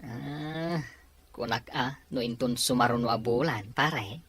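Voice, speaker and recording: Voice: medium pitch, a little slow, fairly bright tone, husky, no room sound. A performer acting an easygoing male best buddy in a radio drama. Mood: amused, supportive.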